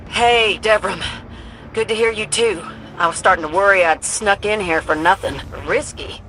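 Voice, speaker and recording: An actress playing a bold, cheeky young pilot in a video game, with a Southern US accent and a folksy, breezy, informal manner. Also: female voice, medium pitch, normal speed, fairly bright tone, slight background noise, lightly acted, poor audio. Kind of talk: video game mission dialogue.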